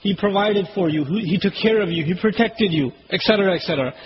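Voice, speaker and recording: Male preacher, native Urdu speaker, high voice, teaching Arabic word meanings; dull lo-fi recording with faint hiss. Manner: explains word meanings slowly, recites Quranic Arabic quickly. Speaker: Islamic preacher. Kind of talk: religious lecture.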